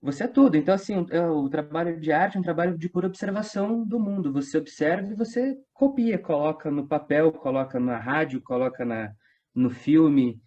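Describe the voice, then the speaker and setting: A man's voice: fairly high pitch, brisk, dark tone, dull audio. A man in his twenties with a Brazilian accent from São Paulo state, chatting casually and anecdotally as an interview guest. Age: 20s. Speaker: theatre company actor, interview guest.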